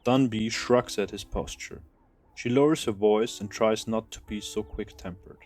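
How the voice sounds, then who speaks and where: A man's voice: fairly low pitch, moderate pace, a little mellow. A man reading scripted narration for an audio drama.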